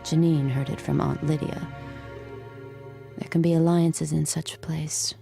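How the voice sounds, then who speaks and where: Actress as a weary narrator, low and gravelly, music underneath. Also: female voice, level tone, a little slow, full character voice. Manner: tired, reflective, quiet narration. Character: weary, guarded young woman narrator.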